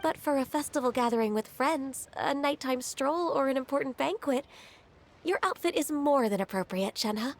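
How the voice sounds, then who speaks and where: A woman playing a gentle, helpful, refined young woman in a video game, speaking in a considerate, reassuring way. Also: high voice, expressive, normal speed. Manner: considerate, reassuring, well-spoken.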